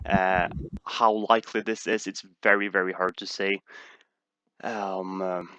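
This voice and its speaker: A man with a Swedish accent talks in a low, forceful voice, hesitating often and restarting his sentences. The sound is dry and close. He answers questions in a casual livestream. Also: flat delivery, measured pace, clear, resonant tone, no room sound. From Sweden. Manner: hesitant, many "uh" fillers and restarts. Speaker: stock market streamer.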